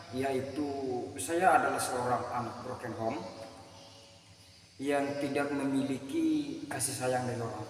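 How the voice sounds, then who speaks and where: Young man from Central Kalimantan, earnest testimony, slow, in a reverberant hall with faint noise. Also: mid-range voice. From Central Kalimantan, Indonesia. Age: 20s. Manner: halting, earnest, in short phrases. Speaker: speaker giving a personal testimony. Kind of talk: religious testimony to a congregation. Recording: very echoey hall, mic far off, faint noise.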